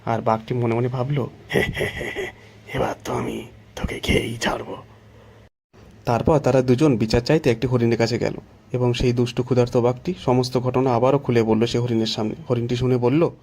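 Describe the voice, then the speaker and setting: A man narrating a scripted children's story in an unhurried storytelling manner. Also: medium pitch, little pitch movement, warm tone.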